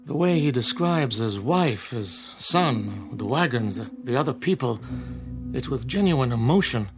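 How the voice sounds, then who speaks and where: An actor playing a firm, rational small-town doctor in a radio drama, measured and authoritative as he explains. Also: medium pitch, animated. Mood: concerned, analytical. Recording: music under the voice.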